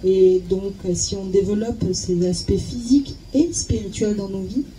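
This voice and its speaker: A woman interprets live in a very mellow, dark voice. She is hesitant, repeating small words while she looks for the right phrasing. There is steady noise behind her. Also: mid-range voice, moderate pace, poor audio. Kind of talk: consecutive interpreting. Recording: clear steady background noise.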